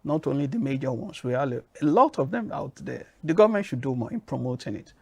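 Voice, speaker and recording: A man with a Nigerian accent answering interview questions in a measured, emphatic way. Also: medium pitch, big pitch swings, vocal fry, a little mellow, dry recording. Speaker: guest.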